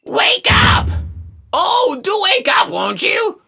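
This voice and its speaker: Game professor, acted by a woman: kindly but frantic man, very high-pitched shouting, old-fashioned pleading. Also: huge pitch swings, slow pace, gravelly, faint music behind, exaggerated voice. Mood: alarmed, urgent.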